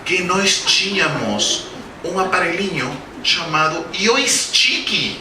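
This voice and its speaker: A man's voice: sits high, animated, slow, a crowd in the background. Man with a Spanish accent lecturing. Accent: Spanish-speaking accent in Portuguese. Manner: jokey anecdotes, asks the audience questions. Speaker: guest speaker at a spiritist center. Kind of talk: humorous spiritist lecture. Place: lecture hall. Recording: roomy hall, distant mic, background noise.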